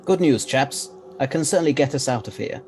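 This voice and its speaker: An actor playing a clever, assured scientist adventurer in an audio drama, explaining briskly with old-fashioned turns of phrase. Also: male voice, mid-range voice, animated, a little mellow, slight background noise, close to an everyday voice. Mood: confident.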